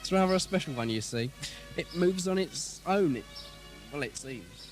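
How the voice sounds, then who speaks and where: A man's voice: fairly high pitch, lively intonation, normal speed, lightly acted. A man playing an eccentric, genial, absent-minded time traveller in an audio drama, speaking in a hesitant, whimsical way. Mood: whimsical, bemused. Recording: 1980s cassette, faint music behind.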